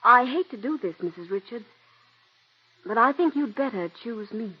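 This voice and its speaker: A young, high-voiced ingenue with a husky edge who pleads and then threatens, in a dull vintage radio drama. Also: female voice, expressive, normal speed. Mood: coldly threatening. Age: young adult. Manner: earnest and pleading, turning coolly threatening. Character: young ambitious scheming stage actress, woman. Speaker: aspiring actress. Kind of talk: 1940s radio acting. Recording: dull old radio broadcast.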